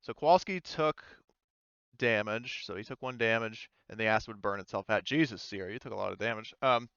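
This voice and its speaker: A man with a clear, mid-pitched voice runs a tabletop roleplaying game. He is casual and halting, reasoning through rules as he goes. The sound is very dry and a little lo-fi. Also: fairly bright tone, no echo. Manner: halting, thinking aloud while working out rules. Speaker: game master.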